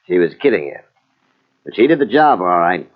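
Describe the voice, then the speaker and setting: A man's voice: low-pitched, big pitch swings, normal speed, full character voice. An actor playing a skeptical male police captain with a dry, doubting manner in an old-time radio mystery. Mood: wry. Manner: dry, doubting, matter-of-fact. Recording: dull, muffled old radio tape.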